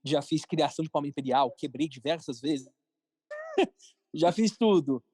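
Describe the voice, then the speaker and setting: Brazilian man with a higher-pitched, dry-recorded voice. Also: huge pitch swings, unhurried, warm tone, slightly rough, no room sound. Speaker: podcast guest, cartoon creator and entrepreneur. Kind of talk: conversational interview answers.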